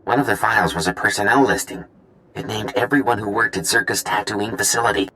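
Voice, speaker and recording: Cautious male accountant, lowish pitch, worried, hesitant, game cutscene dialogue. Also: fast talker, bright, forceful tone, rough recording. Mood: informative. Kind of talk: video game dialogue acting.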